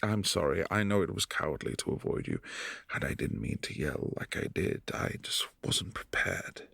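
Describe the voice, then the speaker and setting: A man voicing a brooding, lonely vampire prince in an ASMR roleplay, speaking softly and intimately in halting phrases, close to the mic. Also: deep voice, very animated, vocal fry, breathy edge, no echo, exaggerated voice. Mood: tender. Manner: soft-spoken, intimate, halting.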